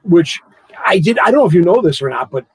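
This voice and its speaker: Host, male, slightly high husky voice, swinging pitch, restarts a lot, dry sound. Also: quick pace, dry recording. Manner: animated, stammering, laughs a lot. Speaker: show host. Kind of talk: casual interview chat.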